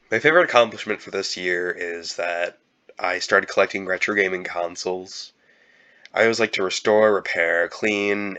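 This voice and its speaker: A young man with a cold, talking in a halting, casual way with many fillers and restarts. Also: low-pitched, bright, forceful tone, highs cut off. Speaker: YouTuber. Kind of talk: casual year-end vlog.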